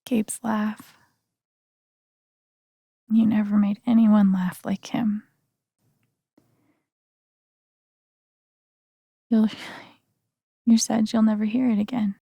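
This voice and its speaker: An actress playing an earnest, gentle young woman in a video game, speaking softly and haltingly with sincere, naturalistic delivery. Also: mid-range voice, little pitch movement, vocal fry, slightly rough, no room sound, exaggerated voice. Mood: hushed, sorrowful.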